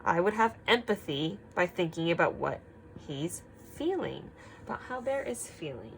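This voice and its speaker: A woman's voice: fairly low pitch, normal speed. Woman teacher reading aloud, with noisy background. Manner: expressive, encouraging pupils with frequent questions and prompts. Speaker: teacher reading a picture book aloud to young children. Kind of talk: children's read-aloud lesson with singing and questions.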